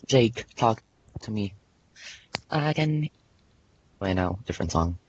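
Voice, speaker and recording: A man's voice: on the low side, animated, takes it slowly, slight background noise. A young man in a casual gaming voice chat, joking and teasing, often laughing. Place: online voice call. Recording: lo-fi dry voice chat audio.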